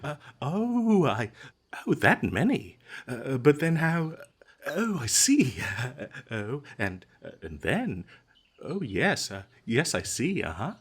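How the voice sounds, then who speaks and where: A man playing a nervous, smooth-talking captured adventurer in a comedic fantasy audio roleplay, stammering and hesitant as he tries to ingratiate himself. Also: mid-range voice, sing-song, takes it slowly, exaggerated voice. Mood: sheepish, uneasy. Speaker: voice actor.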